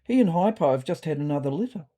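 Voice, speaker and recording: A woman's voice: low voice, lively intonation, quick pace, mellow. A woman voicing a brash, careless male cat in a comic story, with a casual, confident, bossy manner. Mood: matter-of-fact.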